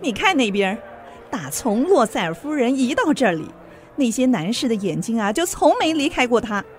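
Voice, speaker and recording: A woman's voice: a little high, very animated, moderate pace, soft background music. A woman acting in a radio drama as a haughty, envious, gossipy society lady with a theatrical, mocking delivery. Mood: sardonic gossip.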